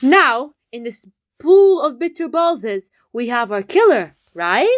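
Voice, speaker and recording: A woman playing a confident young detective in an amateur comedy whodunnit, explaining with dramatic pauses. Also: high voice, sing-song, muffled recording, heavily acted. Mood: confident, accusing. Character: confident young detective laying out a murder case.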